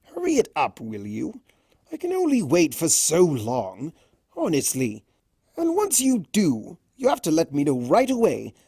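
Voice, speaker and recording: Someone voicing a smug, theatrical trickster creature, swooping pitch. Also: huge pitch swings, warm tone, husky, no room sound, studio quality, exaggerated voice. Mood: impatient, scheming. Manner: drawn-out, theatrical, teasing delivery.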